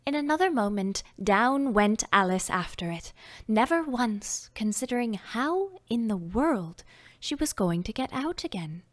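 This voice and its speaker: Slow, lively woman reading a storybook. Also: on the high side. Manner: unhurried, expressive, slips into soft whispers. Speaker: audiobook narrator. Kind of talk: scripted storybook narration.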